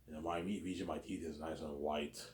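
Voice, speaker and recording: A man's voice: extremely low pitch, steady tone, fast, a little hiss or hum. A man in his thirties talking in a rambling personal monologue, repeating himself and tagging on filler words. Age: 30s. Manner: repetitive, keeps adding "obviously" and "man". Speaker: vlogger. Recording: roomy echo.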